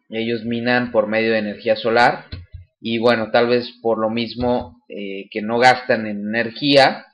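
A man's voice: mid-range voice, highs cut off. A man casually explaining a website step by step, with fillers and restarts. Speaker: channel host demonstrating a website. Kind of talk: casual screen-recorded tutorial.

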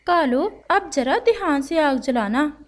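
A woman's voice: high-pitched, animated, slow pace. A woman voicing a spiteful, impatient female crow in a children's cartoon, eager and gloating. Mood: conspiratorial.

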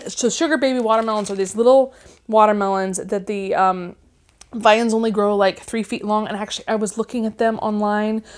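Excited woman gushing over seed packets. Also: medium pitch. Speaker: gardening vlogger. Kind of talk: casual unboxing chat. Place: living room at home, indoors.

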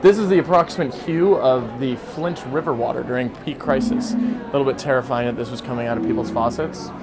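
A man walks through his exhibits in a casual, hesitant way, pausing on fillers. Clear music plays behind him. His voice sits at a middle pitch. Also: poor audio. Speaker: student presenting projects. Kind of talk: exhibition demo explanation.

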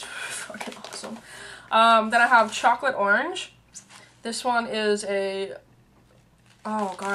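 A young woman talking straight into a webcam in a small room, her voice bright and a touch high. She rambles casually with fillers and side remarks, and her voice sounds worn and fading. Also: a little hiss or hum. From Canada. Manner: rambling, lots of fillers and asides. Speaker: beauty and tea vlogger. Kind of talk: casual chatty vlog. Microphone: webcam at arm's length.

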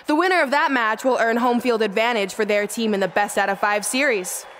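A woman's voice: a little high, brisk, fairly bright tone, close to an everyday voice. A woman playing a self-assured, imperious general manager in a wrestling video game, making emphatic announcements. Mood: commanding. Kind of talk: video game acting, arena announcement on microphone.

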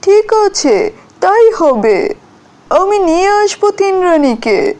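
A woman's voice: extremely high pitch, sing-song, slow, a little mellow, exaggerated voice. A woman voicing a courteous, decisive fairy-tale king in a cartoon, speaking in a regal, declarative way. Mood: fond.

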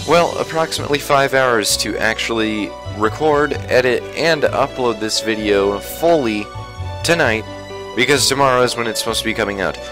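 A young man with a slightly raspy voice, chatting casually through his Minecraft survival gameplay with plenty of fillers. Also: medium pitch. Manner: chatty, rambling, many fillers. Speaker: Minecraft YouTuber.

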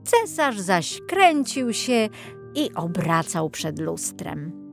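A woman narrates a children's fairy tale at a slow, measured pace. Her delivery is expressive, in the manner of a storyteller, and there is some music in the recording. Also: fairly low pitch, creaky. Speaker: audiobook narrator.

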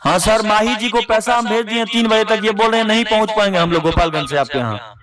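A man's voice: sits high, fast, music in the background. A man negotiating heatedly on a phone call, insistent and repeating himself, as an event organiser. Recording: phone call recording with crowd noise.

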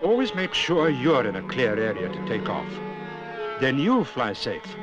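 A man's voice: medium pitch, huge pitch swings, unhurried. A British man voicing a wise, stern cartoon owl who lectures emphatically about safety in a 1970s public information film. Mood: earnest lecturing. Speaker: safety instructor. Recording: dull old film soundtrack with music.

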